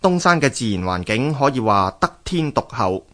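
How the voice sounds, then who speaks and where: A male radio narrator with a Hong Kong Cantonese accent tells scripted tales and history. His medium-pitched voice moves up and down in a lively way. The delivery is expressive and measured, like a storyteller reciting.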